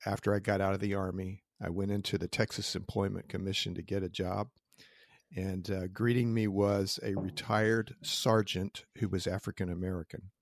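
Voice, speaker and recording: Man, low and gravelly, reflective storyteller on a podcast; dry close sound. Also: level tone, normal speed, no room sound. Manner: reflective storytelling with small restarts. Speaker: podcast co-host and interviewer. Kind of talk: casual conversation.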